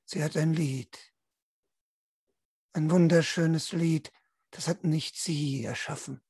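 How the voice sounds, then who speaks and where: Male tabletop storyteller, young adult, dry close sound, gravelly voice, dramatic and drawn-out. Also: on the high side, fairly even intonation, darkish tone, no room sound. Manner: theatrical, drawn-out, intense delivery. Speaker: game master, storyteller. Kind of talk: dramatic tabletop role-play narration. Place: small room at home, online video call.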